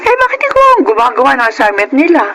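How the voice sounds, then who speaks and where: A woman playing a worried, protective middle-aged mother in a Filipino radio drama, emphatic and gently scolding. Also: sits high, wildly swooping pitch, very fast, noisy background, exaggerated voice. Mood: scolding, concerned. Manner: emphatic, fretful.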